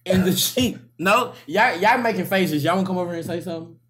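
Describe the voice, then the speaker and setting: A man's voice: high-pitched, fast talker, fairly bright tone. A man of thirty hosting a casual group conversation, joking and laughing often. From Louisville, Kentucky. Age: 30s. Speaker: host.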